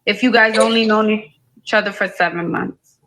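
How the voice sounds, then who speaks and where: A woman's voice: medium pitch, steady tone, normal speed, gravelly, fairly bright tone, slight background noise, poor audio. A woman hosting a live call-in dating show, asking a caller pointed, probing questions.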